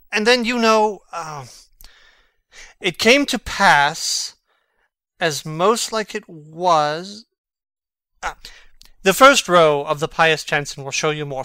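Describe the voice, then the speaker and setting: A man acts a young prince in a Shakespearean drama, witty and mocking as he feigns madness. His voice is highish, very bright and clean, recorded close and dry. The delivery is theatrical, with a sly, sardonic edge. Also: clear, resonant tone, dry recording, exaggerated voice. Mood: teasing. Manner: theatrical, teasing, archly sardonic.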